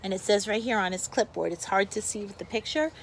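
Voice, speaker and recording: A woman's voice: medium pitch, fast, slight background noise. A woman teacher reads a picture book aloud to young children, expressively, with explanatory asides to her pupils.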